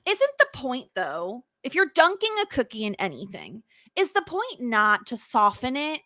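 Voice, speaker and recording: Lively bright-voiced American woman. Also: on the high side, moderate pace, dry recording, studio quality. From New York State, USA. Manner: animated, laughs often, playful. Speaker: podcast co-host. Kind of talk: casual chat.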